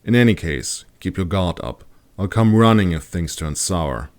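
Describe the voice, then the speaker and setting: A man's voice: sits low, expressive, normal speed, a little mellow. A man playing a bold, curious expedition leader with an assured, explanatory manner in an audiobook.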